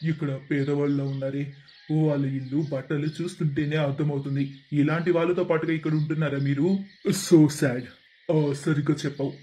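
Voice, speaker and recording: Casting: male performer as snooty rich visitor, disdainful, little pitch movement, animated moral tale. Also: natural voice. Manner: disdainful, condescending. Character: snobbish wealthy guests looking down on the poor. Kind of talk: animated moral story voice acting.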